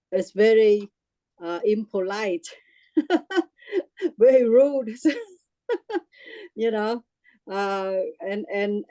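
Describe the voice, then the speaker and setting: A middle-aged woman with a Vietnamese accent speaks in a mellow, darker tone, close and dry with little room sound. She talks haltingly, stacking fillers and restarts, and breaks easily into laughter. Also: mid-range voice, dry recording. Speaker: group member sharing her experience. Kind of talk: casual personal sharing.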